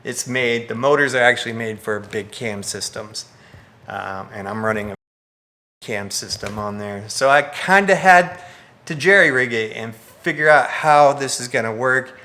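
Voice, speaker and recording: Bright, forceful-voiced man explaining casually. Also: medium pitch, unhurried. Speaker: filmmaker, video creator. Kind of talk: casual explanatory vlog talk.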